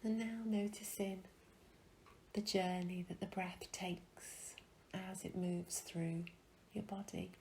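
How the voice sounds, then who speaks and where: A woman guiding a meditation in a soft, breathy, soothing way, leaving long pauses between phrases. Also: on the low side, flat delivery, a little hiss or hum. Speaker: meditation guide. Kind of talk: guided meditation.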